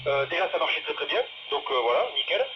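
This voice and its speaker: A man's voice: fairly high pitch, lively intonation, quick pace. A man in a casual, slangy chat as an amateur radio operator, speaking through a two-way radio. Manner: informal, slangy. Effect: two-way radio transmission. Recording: noisy, muffled two-way radio audio.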